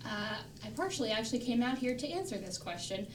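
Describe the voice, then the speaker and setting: A woman with a fairly high voice chats informally. She is some way from the mic in a roomy, echoing space with faint rumble behind. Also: big pitch swings, fast talker, distant mic. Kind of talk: casual chat.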